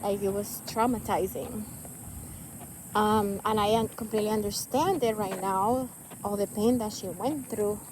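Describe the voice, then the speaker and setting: A woman's voice: mid-range voice, steady tone, unhurried, a little mellow, slight background noise, poor audio. A young woman from Cuba, talking candidly to camera about her life, rambling with many fillers. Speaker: vlogger. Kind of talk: personal talk to camera.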